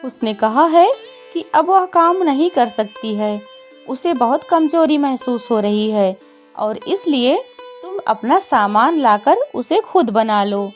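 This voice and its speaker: A woman plays a chatty female parrot in a cartoon tale. The voice is high and exclamatory, teasing as it relays news, with music underneath. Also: animated, takes it slowly. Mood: chiding. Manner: exclamatory, chiding. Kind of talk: children's cartoon story voicing.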